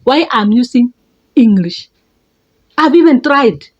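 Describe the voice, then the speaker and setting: A middle-aged Kenyan woman with a Kenyan accent, giving an emphatic motivational talk as a life coach, repeating words and leaping up in pitch for stress. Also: expressive, takes it slowly, breathy edge, muffled recording. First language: a Kenyan mother tongue.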